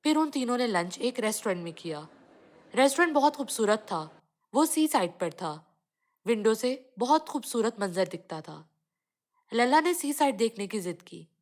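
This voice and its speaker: A woman's voice: on the high side, sing-song, moderate pace, a little mellow. A woman reading a scripted children's cartoon story as the narrator.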